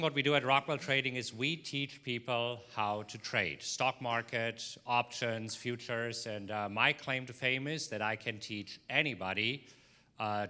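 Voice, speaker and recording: A man's voice: mid-range voice, pushed and bright. A man with a foreign accent introducing himself informally as a guest speaker on stage. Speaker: guest speaker, trading business owner. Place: stage at a filmed live event.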